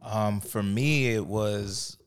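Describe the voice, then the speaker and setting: A man from a Haitian-American family, co-hosting a casual podcast chat and telling his story in a relaxed, steady way. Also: lowish voice, slow pace, clean tone. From United States, Haitian family. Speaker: podcast co-host.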